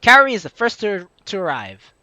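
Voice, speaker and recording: A woman hosts a casual let's play, reading game text aloud with playful, slangy asides. Her voice is bright and very animated at a medium pitch, with faint music behind it. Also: moderate pace, no room sound, muffled recording.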